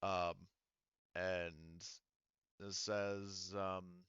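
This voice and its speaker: A man with a low, clean voice acts as game master, describing scenes off the cuff. He gropes for words as he goes. The sound is close and dry, though slightly dull. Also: flat delivery, fairly bright tone, clear, resonant tone, no room sound. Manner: halting, searching for words while describing scenes. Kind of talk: improvised narration of a tabletop roleplaying game.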